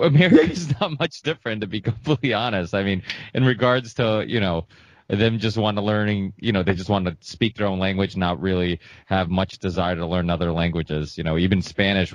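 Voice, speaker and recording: A man's voice: low voice, a little fast, no room sound, muffled recording. A man whose first language is Portuguese, a podcast guest chatting casually and rambling with many restarts. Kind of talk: casual chat.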